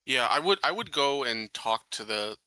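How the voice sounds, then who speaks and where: A man playing a tabletop roleplaying game, talking through his character's actions in a measured, halting, earnest way. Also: medium pitch, expressive, sharp tone, no echo. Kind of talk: casual in-game discussion.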